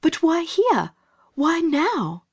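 A man's voice: very high voice, wildly swooping pitch, extremely slow, no echo, studio quality, pitched above the performer's own voice, heavily acted. A man voicing an earnest, caring young schoolgirl in a storybook reading. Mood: anxious questioning. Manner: earnest, gentle, anxious to organise.

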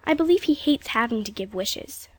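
A woman voicing an anxious, excitable little British girl, childlike and plain-spoken, in a storybook reading. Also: fairly high pitch, normal speed, no room sound, voice pushed up. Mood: flat, fed up.

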